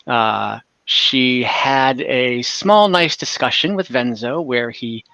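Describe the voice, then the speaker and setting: A man working as game master, recapping a tabletop adventure in a halting, conversational way and correcting himself as he goes. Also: mid-range voice, creaky, bright, forceful tone, no echo. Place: online game session over voice and video call.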